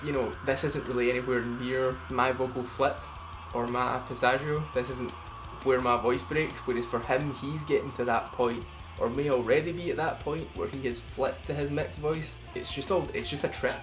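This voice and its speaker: A man's voice: medium pitch, fairly even intonation, moderate pace, over music. A man from the UK casually breaking down his vocal cover, rambling and swearing freely, with self-deprecating asides. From United Kingdom. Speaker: singer explaining his cover. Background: song playing underneath.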